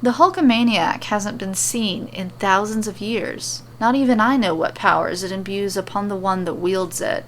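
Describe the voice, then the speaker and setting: A woman's voice: mid-range voice, normal speed, few pauses, natural voice. A woman voicing a stern, solemn male monk who speaks formally and without humor. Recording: clearly noisy recording.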